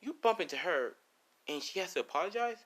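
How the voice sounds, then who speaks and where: A man's voice: sits high, animated, a little fast, a bit bright, pitched above the performer's own voice. A man voicing an outspoken young woman, a loyal best friend in an amateur story, sassy and casual. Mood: indignant.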